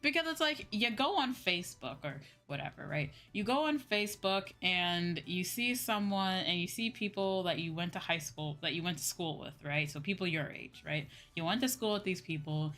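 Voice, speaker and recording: A woman's voice: lowish voice, moderate pace, hardly any pauses. Young woman doing a casual stream chat, exclaims a lot, quotes herself, bit of music in the back. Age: young adult. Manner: animated, exclamatory, self-quoting asides. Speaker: VTuber streamer chatting with viewers. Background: background music.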